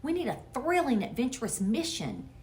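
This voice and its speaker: A woman's voice: sits high, big pitch swings, moderate pace, darkish tone, voice pushed up. A woman voicing a mischievous young boy with big schemes in an emphatic, theatrical storybook manner. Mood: scheming, excited.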